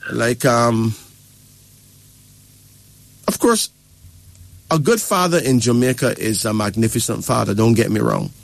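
A man holds forth like an adviser, explaining at length and pausing to ask if he is followed. His voice sits at an ordinary middle pitch. The sound is close and dry, with no room around it. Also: big pitch swings, vocal fry, dry recording. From Barbados. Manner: lecturing, keeps checking with "right?" and "okay?". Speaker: spiritual advisor. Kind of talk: casual advice talk.

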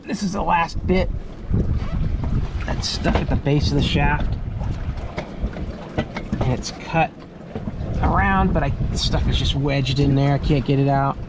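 A man's voice: on the high side, fairly even intonation, a little slow, pauses often. A man casually narrating a do-it-yourself jet ski repair, counting aloud as he works, recorded outdoors on a lake dock with an action camera. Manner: matter-of-fact, counting aloud while working. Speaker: DIY video host. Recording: noisy outdoor action-cam audio. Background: water and wind.

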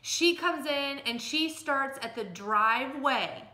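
Bright, high-pitched American woman, echoey room. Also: expressive, slow. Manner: emphatic, folksy, plain-spoken. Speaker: real estate agent. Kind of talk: casual direct-to-camera advice. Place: small furnished room at home or office, indoors.

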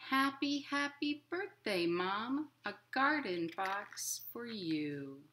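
A woman in her thirties hosting a storytime for young children at home, expressive and encouraging in simple words. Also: a little high, slow pace, a little hiss or hum. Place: living room at home.

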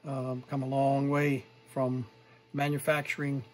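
A middle-aged man presenting from home, showing vintage gear in an unscripted, halting, informal and appreciative way. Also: mid-range voice, monotone, a little mellow, a little hiss or hum. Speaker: vintage tape recorder collector and presenter. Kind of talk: unscripted hands-on demonstration.

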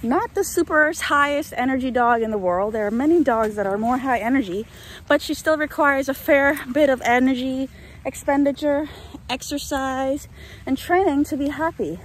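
A woman's voice: sits high, normal speed, a little hiss or hum, low-quality recording. A young woman vlogging on a phone at arm's length while walking her dog through a rainy field, chatting and ranting about dog training and laughing often. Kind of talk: walk-and-talk vlog. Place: open grassy field outdoors in rain. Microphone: phone held at arm's length. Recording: faint outdoor rain ambience.

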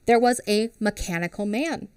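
A woman in her thirties reading a picture book aloud to children on a headset mic, pausing to explain and ask questions. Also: medium pitch, clear, resonant tone, no room sound. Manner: expressive, asks children questions. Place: small home studio with classroom backdrop.

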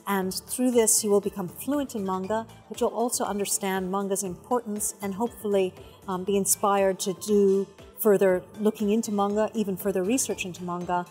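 A woman's voice: mid-range voice, steady tone, normal speed, warm tone, faint music behind. A middle-aged woman, a curator, explaining her work in an on-camera interview. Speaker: exhibition curator and research director. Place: indoors in a museum exhibition gallery.

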